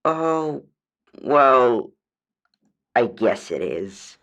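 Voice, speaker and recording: A woman's voice: very deep voice, very animated, drawn-out words, fairly bright tone, full character voice. A woman voicing a meek, hesitant dryad calling a radio advice show in an audio drama, unsure and trailing off. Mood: hesitant, sheepish. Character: hesitant, meek female dryad, homesick for her forest.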